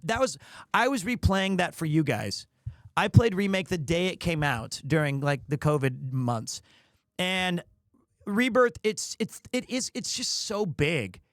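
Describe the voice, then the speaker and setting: A man's voice: on the high side, animated, normal speed, a bit bright, dry recording, clean studio sound. A man hosting a livestream, chatting casually in a rambling, opinionated and playfully defensive way. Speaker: live streamer, host of the show. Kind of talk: casual livestream chat with viewers.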